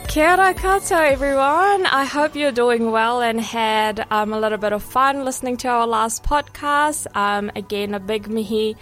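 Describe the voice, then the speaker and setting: A woman's voice: fairly high pitch, normal speed, few pauses, a bit bright, faint music behind. A young woman hosting a casual community radio show in a studio, talking in a friendly way with many ums and restarts. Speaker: radio host.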